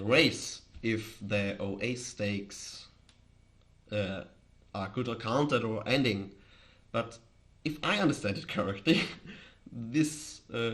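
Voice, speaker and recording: Slow-talking Austrian man, halting delivery. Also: mid-range voice, fairly bright tone. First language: German. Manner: hesitant, halting, chuckles often. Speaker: live streamer, host. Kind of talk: casual livestream chat.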